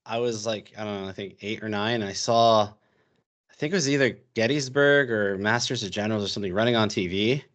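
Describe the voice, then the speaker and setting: Low-voiced American man rambling casually. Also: a little fast, a bit bright. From United States. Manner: rambling, many fillers and restarts. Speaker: podcast guest, history YouTuber. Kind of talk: casual podcast chat.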